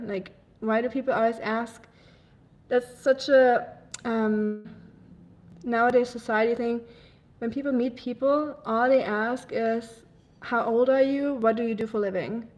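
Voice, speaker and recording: A woman's voice: fairly high pitch, fairly even intonation, unhurried, darkish tone, lo-fi sound. A young woman from Germany, hosting a livestream and chatting frankly with viewers, with lots of ums and likes. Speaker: livestream host. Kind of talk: casual chat reading viewer comments.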